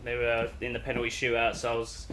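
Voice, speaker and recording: Hoarse rambling London bus driver. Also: male voice, mid-range voice, monotone, quick pace, bright, forceful tone, echoey room, slight background noise, low-quality recording. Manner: rambling, filler-heavy, loses his train of thought. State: hoarse, croaky voice. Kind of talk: casual vlog chat. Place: inside a bus cab.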